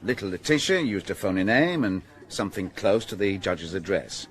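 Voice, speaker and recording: An actor with a British accent playing a dogged, exasperated French police detective in a radio drama, his voice slightly husky. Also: deep voice, lively intonation, moderate pace. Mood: indignant, frustrated. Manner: hesitant musing breaking into indignant outbursts.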